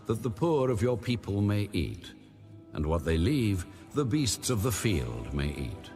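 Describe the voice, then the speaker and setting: A man reading scripture as a scripted audio Bible narration in a measured, solemn manner. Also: lowish voice.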